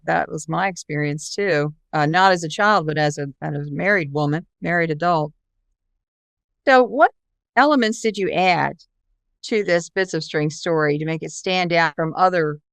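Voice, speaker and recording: A woman's voice: lowish voice, creaky, a bit bright, clear, resonant tone, dry recording. A woman hosting an interview in a casual, conversational style. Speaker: interview host.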